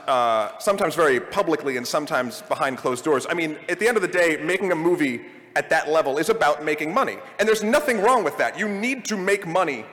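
High-pitched man moderating in a reverberant hall. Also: fairly bright tone. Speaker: panel moderator and podcast host. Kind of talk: casual live panel hosting. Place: large convention panel room. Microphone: stage microphone and PA. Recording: live convention hall, long reverb.

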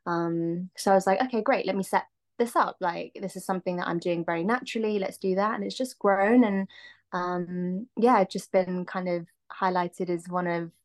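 Guest singer, woman in her thirties, slightly low voice, thoughtful and rambling delivery. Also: steady tone, normal speed. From Filipina-Sri Lankan, raised in the UK. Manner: reflective, lots of "um" and "like". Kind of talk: casual interview conversation.

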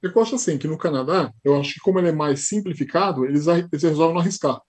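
A man's voice: on the high side, racing, darkish tone, slightly rough. A Brazilian man with a São Paulo accent, a podcast guest who tells stories in casual chat and acts out the dialogue he quotes. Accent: São Paulo Portuguese.

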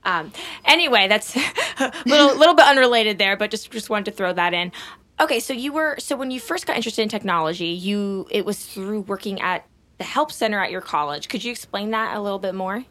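A woman's voice: mid-range voice, animated, a little fast, fairly bright tone. A woman hosting a podcast, reading her intro and ads, then chatting with a guest enthusiastically. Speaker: podcast host. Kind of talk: casual interview and scripted intro.

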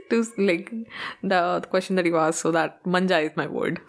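A woman from India whose first language is Punjabi, telling a childhood story in a lively, giggly way and switching between English and Hindi. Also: lowish voice, unhurried. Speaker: podcast guest. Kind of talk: casual storytelling.

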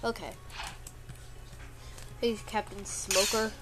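Sniffly chatty girl over loud game music. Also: mid-range voice, little pitch movement, slow pace. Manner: rambling and chatty, mock-complaining playfully when hit. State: congested and sniffly. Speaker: gameplay video creator. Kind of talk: casual gameplay commentary.

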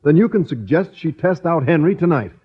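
An eager, naive man from a vintage radio comedy. His slightly high, husky voice rises and falls animatedly as he explains in earnest. The recording is old and dull. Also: lively intonation, fairly quick. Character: earnest, naive male suitor with schemes. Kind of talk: 1950s radio sitcom acting.

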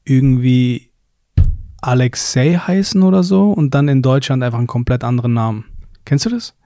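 A man's voice: medium pitch, normal speed, warm tone, dry recording, studio quality, faint music behind. A young man co-hosting a casual podcast, telling anecdotes and often using filler sounds. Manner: storytelling, frequent filler sounds. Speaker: podcast co-host. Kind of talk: casual chat.

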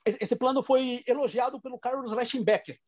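A man's voice: extremely high pitch, fairly even intonation, a little fast, darkish tone, no echo, muffled recording. A man with a Rio Grande do Sul accent, a filmmaker telling stories in a casual interview, with many restarts and laughs. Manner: rambling anecdotes with frequent restarts and laughs. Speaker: filmmaker guest.

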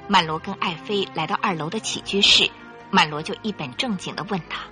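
A woman narrating a radio drama in an expressive, suspenseful storytelling style. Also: lowish voice, a little fast, soft background music.

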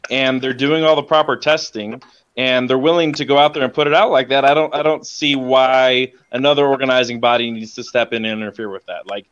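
A man's voice: mid-range voice, steady tone, brisk, fairly bright tone, muffled recording. A man who is a doctor, chatting and joking, telling stories casually. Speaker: doctor and podcast guest. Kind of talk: casual podcast chat.

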